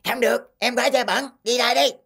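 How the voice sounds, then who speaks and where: A woman's voice: fairly high pitch, steady tone, quick pace, gravelly, fairly bright tone, slightly rough, full character voice. A woman voicing a stern, fussy older brother pencil in a children's cartoon, curt and scolding. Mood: annoyed, scolding. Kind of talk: children's animated cartoon dubbing.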